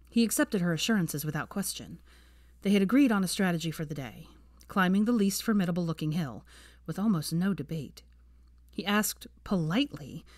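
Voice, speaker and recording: A woman reading a story as a scripted narrator, with an expressive, dramatic delivery. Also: on the low side, no echo, studio quality. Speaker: narrator of fan fiction readings.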